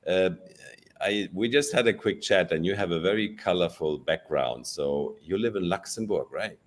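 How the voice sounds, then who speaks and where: A man hosts a podcast and asks his questions in an easy, conversational way. His voice is very low, and he murmurs along while his guest talks. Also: moderate pace. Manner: relaxed, lots of murmured backchannel. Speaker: podcast host. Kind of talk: casual interview conversation.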